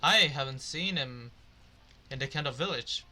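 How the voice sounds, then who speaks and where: A man's voice: mid-range voice, animated, normal speed, sharp tone, a little hiss or hum. A man giving casual gameplay commentary, muttering hesitantly to himself. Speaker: gamer.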